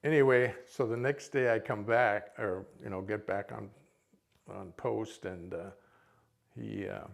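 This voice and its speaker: A man's voice: fairly low pitch, fairly even intonation, measured pace, creaky. An older man with a Minnesota accent, informally reminiscing to an audience as a veteran in a rambling, folksy way. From Minnesota, United States. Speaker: military veteran, guest speaker.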